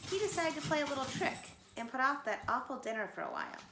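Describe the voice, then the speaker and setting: Brief: woman teacher, gentle read-aloud at home, slight background noise. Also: high voice, sing-song, quick pace, gravelly, roomy sound, poor audio. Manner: gentle, asks children questions. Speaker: teacher reading aloud to children. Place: home, pets nearby. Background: dogs playing.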